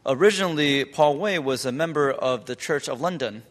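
A man's voice: a little high, moderate pace, in a large hall. Hesitant male church interpreter. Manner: hesitant, with many fillers and restarts. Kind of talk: consecutive interpretation into English.

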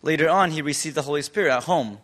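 A man's voice: on the high side, fast talker, fairly bright tone, in a large hall. Hesitant male church interpreter. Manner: hesitant, with many fillers and restarts. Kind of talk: consecutive interpretation into English.

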